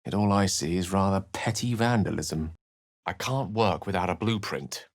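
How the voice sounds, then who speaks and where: An actor playing a scholarly, eloquent wizard companion in a video game, with an articulate, theatrical manner. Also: male voice, low-pitched, moderate pace, dry recording, clean studio sound. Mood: wry, courteous. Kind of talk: video game character acting and narration.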